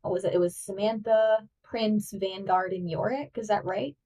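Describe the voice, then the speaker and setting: A woman's voice: medium pitch, steady tone, normal speed, mellow, recorded from a distance, low-quality recording. Chatty woman sitting back from the mic. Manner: chatty, says "like" often. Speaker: podcast co-host. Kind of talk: casual podcast chat. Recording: voice a bit off-mic.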